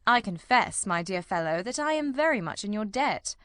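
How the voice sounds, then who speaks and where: A woman playing a shrewd, self-assured male detective in a dramatized audiobook, with measured, condescending, precise delivery. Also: mid-range voice, expressive, a bit bright, clear, resonant tone, no echo, clean studio sound, lightly acted. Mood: frank, patronising.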